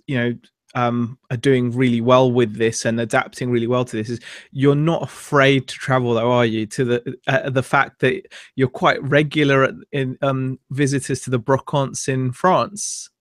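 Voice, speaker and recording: A man's voice: mid-range voice, level tone, normal speed, no room sound, studio quality. A man co-hosting a casual live-stream chat, giggly and self-mocking, with many fillers. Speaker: co-host.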